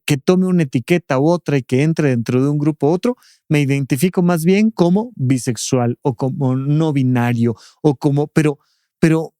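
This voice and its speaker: A man's voice: fairly high pitch, darkish tone, dry recording. A man who speaks as a doctor and expert, explaining patiently and tagging his points with rhetorical questions in a podcast studio.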